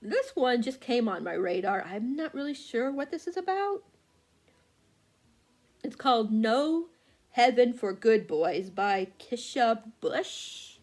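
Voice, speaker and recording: A woman's voice: on the high side, sing-song, takes it slowly, darkish tone. A woman chatting casually about upcoming books, rambling with lots of fillers and restarts while working a phone. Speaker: book vlogger. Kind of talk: casual chat.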